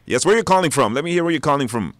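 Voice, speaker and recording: A man's voice: fairly high pitch, animated, racing, no room sound. Man hosting radio, close dry sound. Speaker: radio talk show host. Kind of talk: live radio hosting with call-ins.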